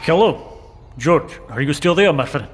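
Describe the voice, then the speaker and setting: A man's voice: fairly high pitch, expressive, moderate pace, natural voice. A man acting in an audio drama as a lonely Russian tracking station operator, earnest and a little formal in his phrasing. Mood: friendly, curious. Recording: reverberant, faintly noisy radio exchange.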